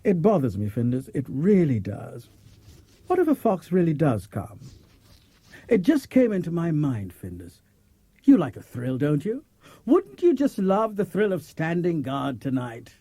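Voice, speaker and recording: A man's voice: a little high, wildly swooping pitch, measured pace, dark tone, dry recording, full character voice. A man playing a kindly, fretful older farmer in an audiobook, coaxing and persuading. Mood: worried, persuasive. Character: kindly, worried older male farmer coaxing his cat. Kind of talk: audiobook character acting. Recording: cassette transfer with faint music.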